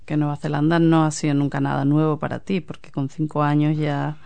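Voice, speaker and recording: A woman's voice: sits low, level tone, brisk, vocal fry, darkish tone. A woman hosting a community radio interview, chatty and curious in her questions. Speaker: radio host.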